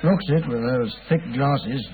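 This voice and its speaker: An actor playing a brisk, matter-of-fact police inspector in an old radio drama. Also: male voice, mid-range voice, darkish tone, close to an everyday voice. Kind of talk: 1940s radio acting. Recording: tinny, hissy old radio broadcast.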